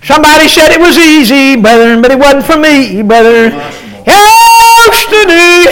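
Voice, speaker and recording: Older male pastor, very high voice, slow chanted sermon delivery, halting, addresses his congregation by name. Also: animated, fairly bright tone, rough recording. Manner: chanted sing-song preaching with drawn-out cries; halting, many filler sounds between phrases.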